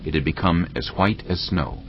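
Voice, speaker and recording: A man narrating a dramatized Bible in a calm storytelling manner, with a husky voice. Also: deep voice, moderate pace, noisy background. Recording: muffled lo-fi audio.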